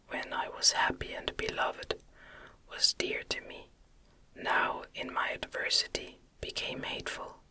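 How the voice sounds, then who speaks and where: A woman reads classic gothic fiction entirely in a breathy whisper. Her delivery is gentle and soothing, very unhurried, with a sharp, bright hiss to the words. It is storytelling made for relaxation. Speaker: ASMR narrator reading an audiobook. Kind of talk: whispered ASMR reading of a gothic novel.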